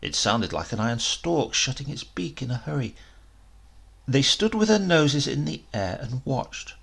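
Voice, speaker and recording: A man reading a literary story aloud in an expressive, measured, dramatic storytelling manner. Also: medium pitch, creaky, slightly rough. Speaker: story reader.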